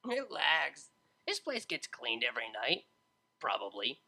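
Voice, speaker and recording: A man's voice: fairly high pitch, huge pitch swings, unhurried, creaky, pushed and bright, full character voice. A man voicing a laid-back young female unicorn DJ, talking in a casual, slangy, self-mocking way. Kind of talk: fan audiobook character voice.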